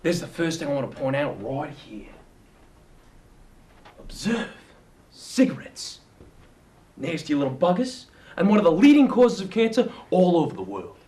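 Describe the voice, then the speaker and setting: Male narrator, high and very animated pitch, wry and mock-serious documentary delivery. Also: normal speed, pauses often, background noise. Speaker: narrator of a video segment.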